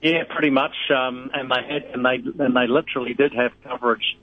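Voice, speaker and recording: A middle-aged man with a New Zealand accent, a radio host calling in as a guest, talking in a rambling, halting way with many fillers. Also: medium pitch, steady tone. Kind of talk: casual radio chat. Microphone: phone line. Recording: tinny phone line.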